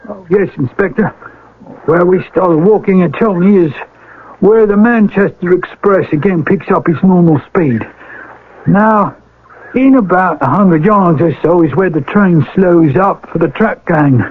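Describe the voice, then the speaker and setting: Radio drama railwayman: husky, highish male voice, humble and hesitant, eager to oblige, muffled vintage broadcast sound. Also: unhurried. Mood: eager, helpful. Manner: hesitant, respectful, folksy. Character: deferential, helpful working railwayman, eager to oblige. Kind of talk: radio mystery drama acting. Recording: lo-fi old radio broadcast recording.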